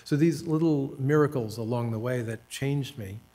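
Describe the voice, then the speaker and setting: A man in his seventies from the United States, an author and professor telling stories of his life at a panel talk, unhurried and self-deprecating, with dry humor and hesitant fillers. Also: medium pitch, warm tone. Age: 70s. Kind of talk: reflective personal storytelling at a panel talk.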